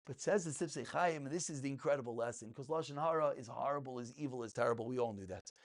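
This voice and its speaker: A man's voice: medium pitch, fast talker. A man giving a passionate, informal religious lesson as a rabbi, emphatic, full of rhetorical questions and repeated phrases.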